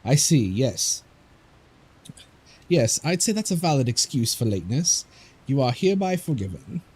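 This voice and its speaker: A man's voice: medium pitch, big pitch swings, normal speed, darkish tone, husky, pitched above the performer's own voice, rough recording. A man voicing a courtly male scholar, polite and formal, with archaic phrasing. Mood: courteous.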